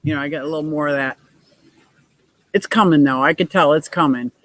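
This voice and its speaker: A woman in her sixties with a deep voice, hosting a casual livestream, reading viewer comments and laughing often in a gushing, playful way. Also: a little fast, no room sound, rough recording. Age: 60s. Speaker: livestream host.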